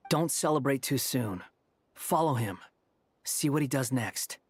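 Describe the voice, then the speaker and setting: A man's voice: mid-range voice, animated, measured pace, slightly rough, no echo. An actor voicing a cool, skeptical male companion in a video game, terse and guarded. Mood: brisk. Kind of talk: dramatic video game voice acting. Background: faint game music.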